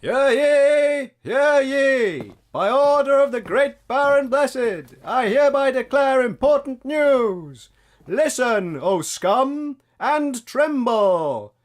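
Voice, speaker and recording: A self-important herald in a comic radio play. The voice is high and declamatory. He delivers each proclamation with ominous, theatrical pomp. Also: expressive, slow, exaggerated voice. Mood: pompous, ominous. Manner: pompous, declamatory, dramatic. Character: pompous, loud male town herald proclaiming doom. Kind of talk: town crier proclamation in radio comedy.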